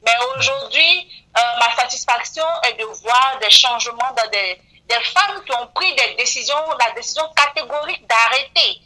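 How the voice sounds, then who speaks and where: Bright-voiced woman in her 40s. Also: fairly high pitch, animated, moderate pace, few pauses, rough recording. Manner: earnest, frequent "voilà" fillers. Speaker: beautician guest. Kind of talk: radio interview guest.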